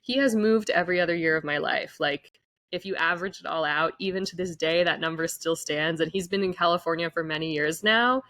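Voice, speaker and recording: A woman guest shares a personal story in casual conversation, her voice a little low. She fills her speech with "like" and "you know," and the recording is dry and close. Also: fairly quick, fairly bright tone, no echo. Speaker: podcast guest.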